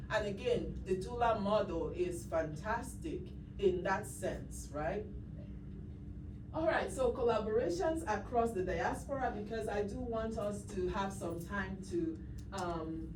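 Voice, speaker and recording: A woman's voice: medium pitch, recorded from a distance. A woman giving a conference breakout talk, speaking conversationally with restarts as she thinks aloud. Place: conference room. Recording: roomy sound from off-mic with clear background noise.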